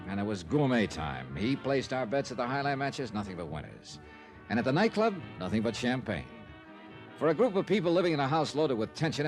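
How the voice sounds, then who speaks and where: Actor as shrewd, sardonic radio private eye, lively delivery. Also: male voice, medium pitch, natural voice. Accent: American. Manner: wry, hardboiled, probing. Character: shrewd, sardonic male private investigator narrating his case. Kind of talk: old-time radio detective drama. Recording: vintage radio recording with music.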